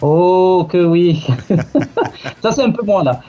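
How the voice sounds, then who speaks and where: Man from Marseille, guest, hesitant answers, mellow fairly high voice, dull lo-fi sound, faint music. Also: animated, slow pace. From Marseille, France. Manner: hesitant, many restarts, laughs often. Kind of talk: interview answers.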